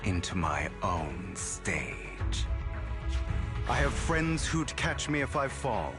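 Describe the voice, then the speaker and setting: Theatrical video game gentleman thief, low voice, unhurried, sweeping intonation, silky and sardonic. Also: sing-song. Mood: theatrical, gloating. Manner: grandiose, silky, deliberate. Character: suave, theatrical, sardonic male master thief and con artist. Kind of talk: dramatic video game voice acting. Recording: background music under voice.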